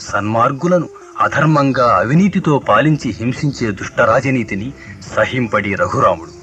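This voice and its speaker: Guy acting as righteous epic prince, formal lofty speech, bright forceful voice, old radio play with faint music. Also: mid-range voice, expressive, moderate pace, dull audio, lightly acted. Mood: lofty, resolute. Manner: declamatory, lofty, formal classical diction.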